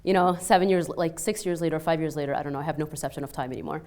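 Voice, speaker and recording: A woman's voice: low-pitched, very fast. A woman in her thirties from Egypt with a light Egyptian Arabic accent, telling personal stories on a panel in a chatty way, full of "like" and "you know". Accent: slight Egyptian Arabic, mostly Canadian-sounding. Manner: animated and chatty, many "like" and "you know" fillers, acts out quoted exchanges. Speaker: panelist, restaurant owner and peace scholar. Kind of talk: casual personal storytelling on a panel.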